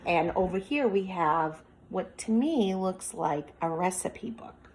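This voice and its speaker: A woman's voice: lowish voice, lively intonation, takes it slowly, a little mellow, poor audio. Woman teacher doing a picture-book read-aloud. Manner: instructive, prompting listeners with questions as if to a class.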